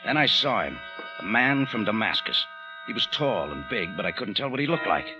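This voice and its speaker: An actor playing a tough, wry American detective hero in an old radio drama, clipped and unflappable, with an American accent. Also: male voice, lowish voice, sing-song, moderate pace. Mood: tense narration. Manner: hard-boiled, clipped, wry. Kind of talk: old-time radio detective narration and dialogue. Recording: dull old radio recording with music.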